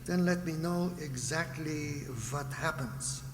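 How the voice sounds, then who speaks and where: A man playing a formal, condescending psychiatrist who lectures in measured phrases in an old-time radio drama. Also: fairly high pitch. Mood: composed. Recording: echoey hall, background noise.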